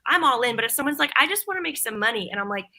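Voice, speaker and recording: Woman voice actor chatting on a roundtable, higher bright voice, earnest and kinda emphatic. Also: expressive, racing. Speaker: voice actor, panel guest. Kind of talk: casual roundtable discussion.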